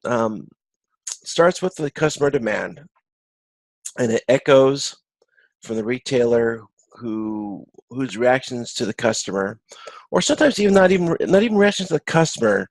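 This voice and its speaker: A man giving an informal online lecture as an instructor, explaining in a halting way and often rephrasing himself. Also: mid-range voice, lively intonation, no room sound. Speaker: course instructor. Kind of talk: informal recorded video-conference lecture with slides.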